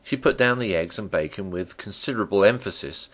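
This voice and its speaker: A man narrating a classic novel as a dramatic reading, with theatrical, deliberate phrasing. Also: lowish voice, expressive, fairly bright tone, low-quality recording. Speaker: audiobook narrator.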